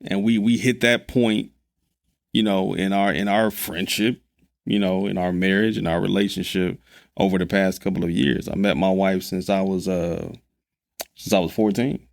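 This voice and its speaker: Gravelly laid-back man in his thirties. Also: low-pitched, steady tone, moderate pace. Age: 30s. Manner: laid-back, laughing, loose slang. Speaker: podcast co-host. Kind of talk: casual chat.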